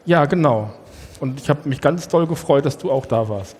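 A man playing an earnest, literal-minded bank teller, matter-of-fact and deadpan in an improvised audio play. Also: mid-range voice, normal speed, close to an everyday voice. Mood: shyly pleased. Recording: very echoey hall, clear background noise.

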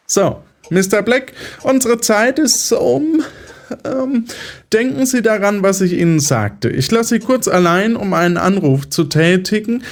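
A high-pitched, very animated male psychiatrist acted by a man in an audio drama. He lectures his patient with condescending, controlling assurance, swinging widely in melody as he explains. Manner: condescending, didactic. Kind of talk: German audio drama acting.

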